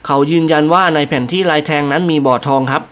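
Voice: mid-range voice, quick pace, close to an everyday voice. A reader voicing a humble, deferential male servant answering his masters politely in an audiobook.

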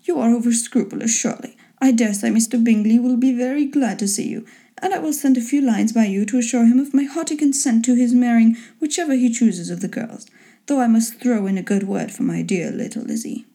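A woman voicing a dry, teasing middle-aged husband and father in a storybook reading, with a deadpan, sardonic manner. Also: on the high side, a little fast, close to an everyday voice. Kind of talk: storybook character voice in an audiobook reading.

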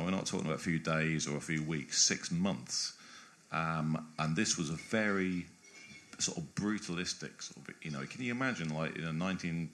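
This is a man with an extremely low, deep voice, talking at length as an interview guest. He speaks in a discursive, thinking-aloud way, often breaking off and restarting his phrases. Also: a bit bright. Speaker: guest biographer.

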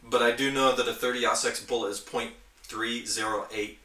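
Echoey, bright-voiced enthusiastic man chatting. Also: medium pitch, steady tone, normal speed. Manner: enthusiastic, nerdy explaining. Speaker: podcast host. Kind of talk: casual hobby chat. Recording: roomy, echoey, distant from mic.

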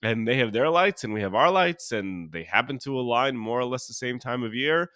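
An American man who is sniffly with a cold, hosting a solo podcast and teaching in an informal, halting way, rephrasing as he goes. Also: medium pitch, expressive, sharp tone, no room sound, clean studio sound. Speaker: podcast host and religious teacher.

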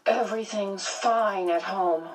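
A woman's voice: medium pitch, takes it slowly, recorded from a distance, slight background noise, voice pushed up, close to an everyday voice, poor audio. A woman voicing a defiant, guarded teenage schoolgirl in an audiobook, with blunt, combative delivery. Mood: sullen, guarded. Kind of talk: audiobook character voice.

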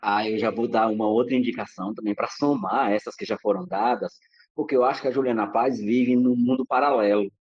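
A man's voice: on the low side, steady tone, a little fast, slightly rough, no echo. A Brazilian man talks as a podcast guest, circling his points with restarts and fillers. The sound is dry and a little muffled. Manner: discursive, many restarts and fillers. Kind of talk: reflective casual talk.

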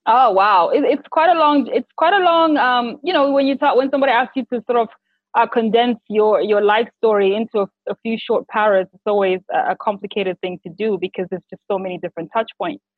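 A woman from Zimbabwe in her thirties tells her story in an animated, rambling way, circling back on herself with fillers. Her voice is thin and tinny, like a phone line, and sounds very dry and close. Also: a little high, brisk, no echo. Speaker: PR and communications strategist, guest. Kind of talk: conversational interview.

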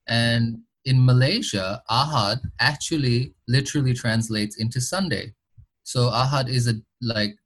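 A young man with an American accent talks as an interview guest. He rambles in a loose, easy way and laughs often. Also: medium pitch. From Bangladesh. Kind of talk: casual interview chat.

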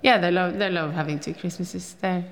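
A woman's voice: on the low side, steady tone, normal speed, slight background noise. Chatty Norwegian-accented woman in her fifties. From Norway. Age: 50s. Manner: chatty, rambling with restarts and laughs. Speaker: interviewee. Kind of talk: casual conversational interview.

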